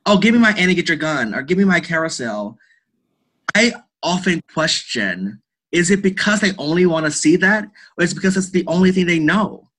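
A man with a bright, forward voice gives conversational interview answers. He is earnest and emphatic, often repeating a phrase to drive it home. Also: high voice, expressive, fairly quick. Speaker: guest, theatre director and artist.

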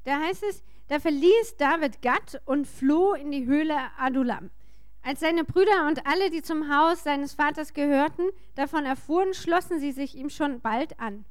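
A woman working as a sermon interpreter, speaking in short, informal and somewhat halting phrases. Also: high-pitched.

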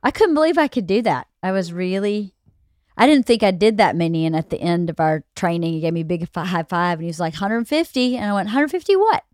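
Podcast co-host: woman, late forties, lower register, candid and reflective, close dry studio sound. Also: animated, a little fast, darkish tone, no echo. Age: late 40s. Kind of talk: casual intimate conversation.

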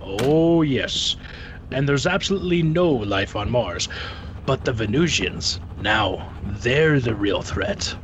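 An actor playing a self-important crackpot scientist in a 1930s radio comedy spoof, speaking slowly and emphatically. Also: male voice, medium pitch, expressive. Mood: pompous, earnest. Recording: dull lo-fi radio sound, faint noise.

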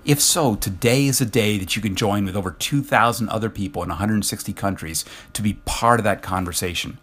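Low-pitched American man hosting a podcast. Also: a little fast, a bit bright, husky. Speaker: podcast host. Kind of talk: informal solo podcast announcement.

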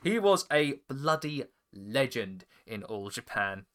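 A man's voice: mid-range voice, very animated, slow, a bit bright. Chatty man with an English estuary accent. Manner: chatty, laughs often, stumbles and restarts. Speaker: podcast host. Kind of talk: casual chat and storytelling.

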